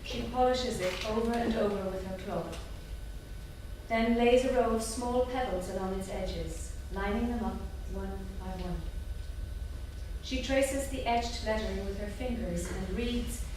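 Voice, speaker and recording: A woman's voice: fairly high pitch, unhurried, darkish tone. Woman narrating in an echoey theatre. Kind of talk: scripted stage directions read aloud. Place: small theatre, staged reading. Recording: echoey theatre, distant mic, clear noise.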